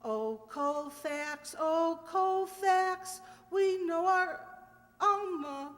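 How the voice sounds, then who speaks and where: A woman playing a sentimental, excitable wife and amateur sleuth in a 1940s-style radio drama. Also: very high-pitched, drawn-out words, faint music behind, exaggerated voice. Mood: nostalgic. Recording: echoey hall.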